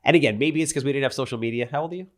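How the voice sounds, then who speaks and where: A man's voice: mid-range voice, racing. A man in his forties hosting a casual podcast chat, blunt and profane, teasing his guests. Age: 40s. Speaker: podcast host.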